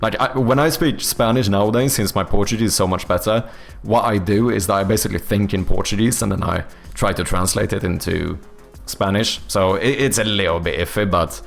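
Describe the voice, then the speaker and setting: A Swedish man, a native Swedish speaker, chatting casually on a livestream and thinking out loud, with many fillers and restarts. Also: fairly low pitch, brisk, creaky, faint music behind. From Sweden. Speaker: game developer streamer. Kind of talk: casual livestream chat answering viewers.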